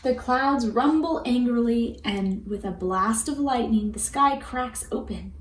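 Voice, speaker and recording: A woman reading a picture book aloud for children in an expressive, deliberate storytelling manner. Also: fairly high pitch. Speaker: storybook reader. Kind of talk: children's read-aloud narration. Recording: roomy echo with faint background noise.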